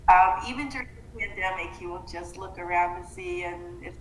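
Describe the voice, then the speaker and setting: Echoey, lowish-voiced woman interviewee. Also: a little fast. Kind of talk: conversational interview answers. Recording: roomy echo, faint background noise.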